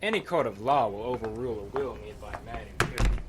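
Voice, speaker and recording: An actor playing a calculating, sardonic heir in a radio detective play, self-assured and dismissive. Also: male voice, on the low side, fast talker, background noise, close to an everyday voice. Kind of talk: old-time radio detective play acting.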